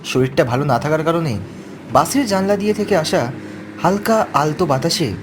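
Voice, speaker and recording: A man's voice: fairly high pitch, lively intonation, normal speed, background noise. A man narrating a first-person horror story from a script. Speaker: narrator of an animated ghost story.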